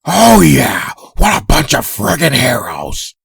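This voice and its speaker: A man playing a cantankerous, bloodthirsty old man with a raspy voice, ranting scornfully in a comic dub. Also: on the high side, wildly swooping pitch, slow pace, a bit bright, no echo, clean studio sound, full character voice. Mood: contemptuous. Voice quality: gravelly, raspy. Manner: scornful, crotchety.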